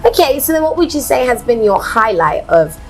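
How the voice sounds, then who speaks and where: A woman's voice: a little high, sing-song, brisk, soft background music. A woman hosting an interview in a chatty, encouraging way. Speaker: host. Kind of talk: casual interview.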